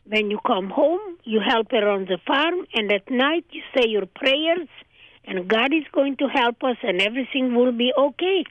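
An older woman from Transylvania, a native Hungarian speaker, recounting her childhood in an interview in measured, short phrases. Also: a little high, animated, dry recording. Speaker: guest. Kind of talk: oral history interview. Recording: dry tinny phone line.

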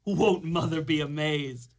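Woman playing a naive, low-voiced peasant lad. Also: unhurried. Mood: naive, boastful. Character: naive, cocky young lad, lazy dreamer. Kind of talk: live radio play acting of a fairy tale.

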